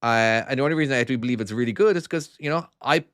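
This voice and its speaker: A man's voice: mid-range voice, quick pace, fairly bright tone, clean tone, dry recording, studio quality. An Irish man who makes trucking games, chatting casually and self-deprecatingly on a live stream while playing and answering chat. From Ireland. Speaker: game developer streaming his own game.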